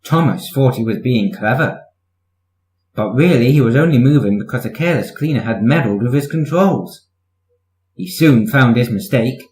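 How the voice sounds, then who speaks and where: A man's voice: medium pitch, lively intonation, normal speed, a little mellow, roomy sound, rough recording. A man reading a children's story as scripted narration, in the manner of a storyteller. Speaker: story reader.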